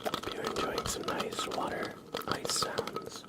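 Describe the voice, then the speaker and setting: Male ASMR host: low, hushed whisper, very slow pacing, gentle direct address. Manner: gentle, unhurried delivery addressing listeners directly.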